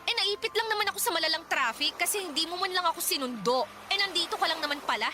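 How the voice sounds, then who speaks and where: A woman's voice: very high voice, expressive, fast. A woman with a Filipino accent acting a tired, exasperated young working woman in a radio drama, sarcastic and scolding. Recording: noisy ambient background.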